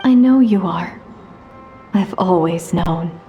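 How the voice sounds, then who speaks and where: An actress playing a loving, wryly teasing adventurer mother in a video game, gentle and tender in delivery. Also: on the low side, takes it slowly, lo-fi sound, faint music behind.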